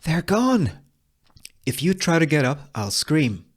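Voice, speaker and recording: A man's voice: fairly high pitch, sing-song, slow, pitched above the performer's own voice, full character voice. A man voicing a tough, cool-headed, scheming woman gunslinger in a Western script, sardonic and commanding. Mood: threatening.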